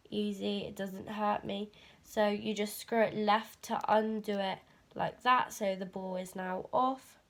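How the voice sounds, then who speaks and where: A teenage girl, chatting casually to camera in a vlog and rambling with fillers, her voice a bit croaky from a cold. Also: medium pitch. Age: teens. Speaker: vlogger.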